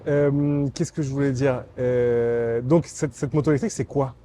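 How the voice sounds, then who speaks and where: A man's voice: medium pitch, fairly even intonation, normal speed, warm tone, a little hiss or hum. A man interviewing a guest in a casual, chatty and enthusiastic way.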